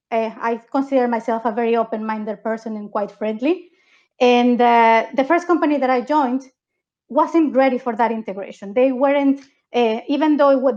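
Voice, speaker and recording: Woman from Mexico, high voice, earnest panel speaker, repeats herself, muffled lo-fi sound. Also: a little mellow. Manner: earnest, repeats words, tags "right?". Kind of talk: panel discussion.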